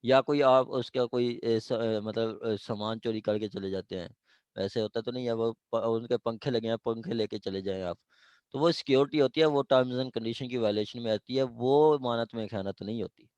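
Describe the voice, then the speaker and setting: A man's voice: medium pitch, moderate pace, a little mellow, clear, resonant tone, no room sound, dull audio. A man with a Pakistani accent giving an informal law lecture with examples, explaining step by step, often checking understanding and switching into English legal terms.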